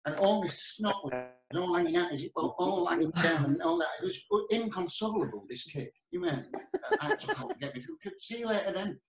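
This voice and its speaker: A man with a Northern English accent, telling comic anecdotes in an animated, chatty, earthy and colloquial way as a podcast guest. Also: high voice, a little fast, hardly any pauses, a crowd in the background. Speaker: podcast guest, pantomime performer. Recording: roomy, echoey sound.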